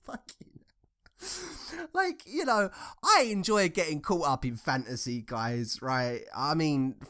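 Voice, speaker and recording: A man's voice: on the high side, sing-song, takes it slowly, pushed and bright. A young man from England with a southern English accent, giving casual ranting commentary in an informal, sarcastic, sweary way. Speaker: commentator reacting to social media posts.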